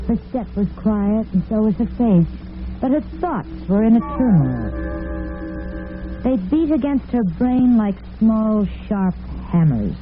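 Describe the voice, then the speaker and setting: A woman playing a sympathetic storyteller, narrating a melodrama in measured, dramatic old-time radio style. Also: medium pitch, very animated, over music. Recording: narrow-band vintage radio recording.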